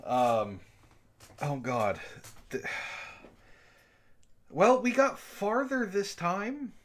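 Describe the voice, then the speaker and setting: A man's voice: high-pitched, wildly swooping pitch, drawn-out words. A man reading a story aloud and bantering casually, with an exasperated, profane, mocking manner. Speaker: book club host and reader.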